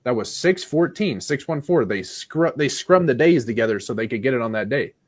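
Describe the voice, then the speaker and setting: A man's voice: mid-range voice, expressive, fairly quick. A man arguing in a live debate as a panelist, blunt and insistent, with frequent swearing.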